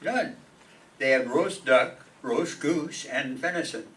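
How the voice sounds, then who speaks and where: A man's voice: medium pitch, slow, fairly bright tone, recorded from a distance, rough recording. A man playing a polite, earnest and slightly hesitant bachelor neighbor in an old-time radio sitcom.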